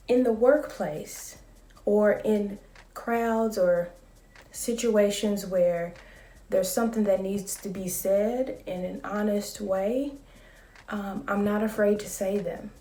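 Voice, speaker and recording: Woman, late 30s, soft calm vlogger voice, slow and mellow, echoey room, a bit off-mic. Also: mid-range voice, recorded from a distance. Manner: calm, measured and reflective. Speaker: school psychologist. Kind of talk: casual talking-to-camera vlog.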